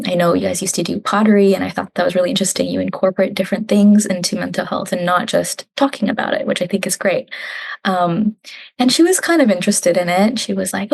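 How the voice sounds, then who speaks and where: A woman's voice: mid-range voice, gravelly. Chatty young Canadian woman. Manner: chatty, laughs often, quotes family in animated voices. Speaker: digital specialist, guest. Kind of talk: casual conversational interview.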